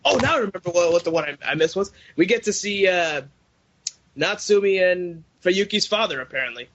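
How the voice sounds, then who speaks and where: A man's voice: high voice, animated, a little fast, a bit bright. A young adult man chatting casually as co-host of a fan podcast over a voice call. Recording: dull lo-fi internet call.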